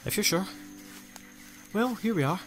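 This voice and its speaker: A man's voice: sits high, animated, a little slow, a bit bright. An actor playing an upbeat, eccentric male time-traveller who speaks lightly, in an amateur audio drama. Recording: reverberant hall with music.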